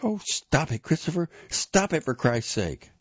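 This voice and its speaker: A man voicing a furious, exasperated young mother who scolds her husband loudly and emphatically in an audiobook story. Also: sits low, fairly even intonation, brisk, husky, no echo, highs cut off.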